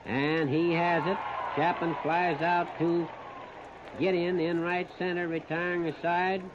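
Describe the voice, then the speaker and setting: A man's voice: fairly high pitch, level tone, measured pace, crowd noise. Muffled 1930s American baseball radio man. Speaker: baseball radio play-by-play announcer. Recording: muffled, noisy 1930s radio disc recording.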